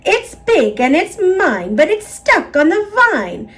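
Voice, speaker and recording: A woman's voice: extremely high pitch, sing-song, slow pace, fairly bright tone, pitched above the performer's own voice, full character voice. A woman voicing a bossy, frustrated witch for a children's storybook, complaining in an insistent singsong. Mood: exasperated, insistent. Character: bossy, frustrated female witch guarding her pumpkin.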